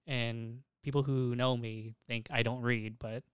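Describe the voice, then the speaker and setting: Clear-voiced young man, casual podcaster. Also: mid-range voice, level tone, unhurried, dry recording, clean studio sound. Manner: slangy, frequent swearing; enthusiastic, opinionated. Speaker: podcast host.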